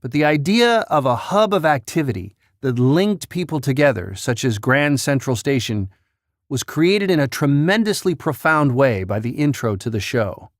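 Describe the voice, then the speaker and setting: A man's voice: mid-range voice, very animated, moderate pace, dry recording, studio quality. A man hosting a countdown with scripted narration. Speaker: countdown host.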